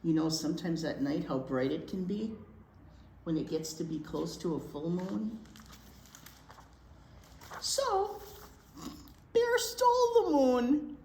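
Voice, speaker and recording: A woman reading a picture book to young children in an expressive, chatty way, often asking them questions. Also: lowish voice, slow pace, long pauses. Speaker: children's library teacher reading aloud. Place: indoor room at a library. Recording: roomy echo, noticeable background noise.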